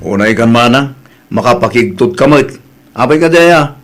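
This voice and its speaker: A man's voice: mid-range voice, animated, moderate pace, faint music behind, close to an everyday voice. A man with a Filipino Ilocano accent playing a stubborn, defensive young son in a comedy drama. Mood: annoyed.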